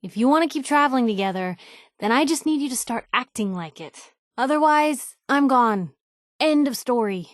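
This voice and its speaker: A woman's voice: sits high, expressive, moderate pace, dry recording, clean studio sound. A woman playing a feisty, guarded young reporter, wry and loyal, in naturalistic video game dialogue with a sardonic, emphatic manner. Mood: stern, exasperated. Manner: sardonic, emphatic, hesitant when vulnerable. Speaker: video game companion character.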